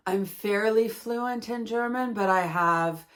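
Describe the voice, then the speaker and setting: A woman's voice: mid-range voice, slow pace. A woman from Canada with a Canadian accent, chatting casually as a host reviewing yarn, rambling and laughing at herself. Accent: Canadian English. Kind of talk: casual chat, product review.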